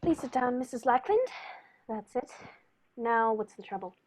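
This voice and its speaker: A woman playing a composed, courteous male detective with formal, measured phrasing in a radio play. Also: fairly high pitch, very animated, noisy background. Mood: polite, attentive. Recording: dull lo-fi recording.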